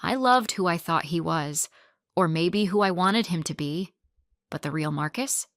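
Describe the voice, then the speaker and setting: A woman narrating a first-person story in a calm, even storytelling manner. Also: fairly low pitch, a little slow, no room sound, clean studio sound.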